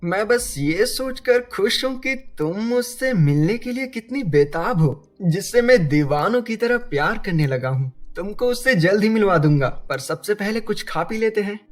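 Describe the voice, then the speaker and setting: A man voicing a lovestruck, teasing young man with an earnest, romantic delivery in an animated love story. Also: high-pitched, moderate pace, hardly any pauses, soft background music. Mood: teasing, happy.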